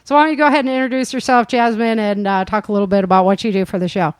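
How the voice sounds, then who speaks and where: A woman's voice: mid-range voice, steady tone, racing, clean tone, dry recording. A woman hosting a casual cast interview, chatty and informal, often chuckling as she talks. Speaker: host and producer of an audio drama.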